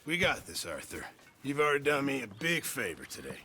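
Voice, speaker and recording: Blustering, very animated old camp cook. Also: male voice, medium pitch, a little hiss or hum. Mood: friendly. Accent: American. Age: older. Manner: blustering, folksy, halting. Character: fat old camp cook, blustering, boastful, eager male. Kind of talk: western video game acting.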